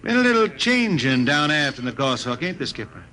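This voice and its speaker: A hard-drinking American jet man from a 1950s radio space serial, pitched a little high for a man. His rustic drawl swings widely up and down, sly and cocky. Music plays behind the old broadcast. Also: very animated, fairly quick, sharp tone. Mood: sly, defiant.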